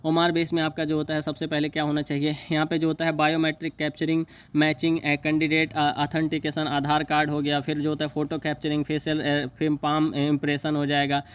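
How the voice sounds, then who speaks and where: A man talks through an informal explainer in a slightly high voice. His delivery is very even and monotone. He goes haltingly, repeating phrases and stumbling as he reads out technical terms. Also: smooth. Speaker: exam news video host. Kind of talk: informal explainer, reading and paraphrasing a notice.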